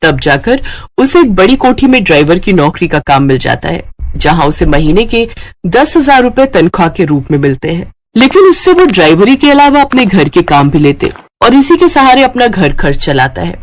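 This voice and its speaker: Cartoon moral-tale narrator, scripted read, very animated pitch movement, mid-range voice. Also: normal speed.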